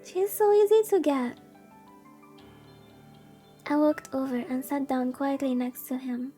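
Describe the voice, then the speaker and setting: A woman's voice: high voice, lively intonation, a little slow, mellow, soft background music. A woman streamer reading a visual novel aloud in a casual livestream style, informal and given to playfully exasperated commentary.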